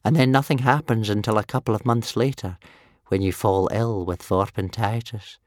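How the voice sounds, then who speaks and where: A man voicing a gloomy, ancient village healer and soothsayer in a storybook, with a wheezy croak and a slow, muttering manner. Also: lowish voice, fairly even intonation, darkish tone, no echo, natural voice. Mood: muttering, grave. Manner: slow, muttering, tut-tutting.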